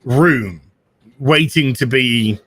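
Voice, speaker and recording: A man's voice: medium pitch, drawn-out words, fairly bright tone, no room sound. A man co-hosting a casual film discussion in a conversational manner. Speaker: livestream co-host.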